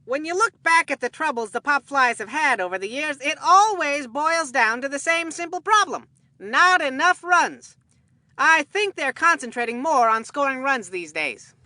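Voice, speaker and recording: A woman's voice: high-pitched, expressive, moderate pace, bright, forceful tone, dry recording. A woman voicing a goofy, food-obsessed kid baseball commentator in a video game, with a folksy, rambling, earnest delivery. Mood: nostalgic, earnest. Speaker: sports commentator. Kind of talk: cartoonish scripted game commentary.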